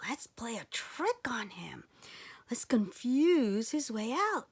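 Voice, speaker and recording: A woman's voice: on the high side, sing-song, slow, husky, exaggerated voice. A woman voicing a mischievous, indignant fairy in a children's storybook, exclamatory and conspiratorial. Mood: scheming. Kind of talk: children's storybook read-aloud.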